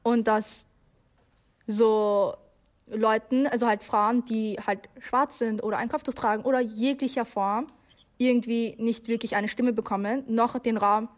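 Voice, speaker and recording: A young adult woman speaking casually as a radio guest, loose and searching, with lots of filler words. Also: on the high side. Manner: loose and searching, many fillers like halt and also. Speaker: interview guest. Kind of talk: casual spoken opinion.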